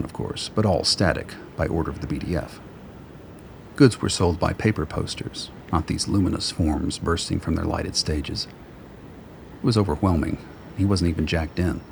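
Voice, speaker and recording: Low, creaky-voiced male narrator. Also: slight background noise. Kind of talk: scripted radio play narration.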